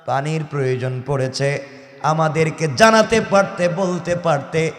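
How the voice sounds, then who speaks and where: A Bangladeshi man delivers a religious sermon in a high, declamatory voice. He tells the story slowly and dramatically, stretching phrase ends into chant. The sound rings with long, echoey PA reverb. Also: fairly even intonation, soft background music. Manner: declamatory, sing-song chanting at phrase ends, calls on listeners to respond. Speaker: Islamic preacher.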